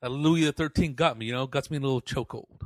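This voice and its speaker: A man's voice: mid-range voice, very fast, dry recording. A man co-hosting a podcast, bantering casually in easygoing, profane slang. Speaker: podcast co-host.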